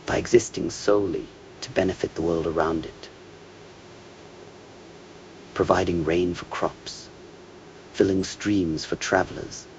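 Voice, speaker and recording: A man delivering a scripted meditative monologue in a measured, contemplative way, with hushed phrase endings that trail toward a whisper. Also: low voice, fairly even intonation, dull audio, slight background noise.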